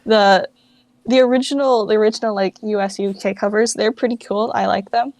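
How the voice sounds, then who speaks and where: A woman's voice: mid-range voice, fairly even intonation, fairly quick, a little mellow, no room sound. A young woman in her late teens chatting casually and informally as a guest in an interview. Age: late teens, young adult. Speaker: interview guest, fan project founder.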